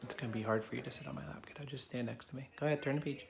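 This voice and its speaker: A man's voice: mid-range voice, fairly even intonation, very fast, crowd noise. A man reading a children's picture book aloud, explaining things simply as he talks to a child. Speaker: adult reading to a child helper. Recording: clear background noise.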